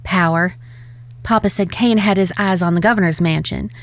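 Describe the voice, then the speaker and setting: A woman playing a proud, sharp-tongued ranch woman in a western audio drama, composed and stern. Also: fairly low pitch, normal speed, natural voice. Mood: grave, scornful.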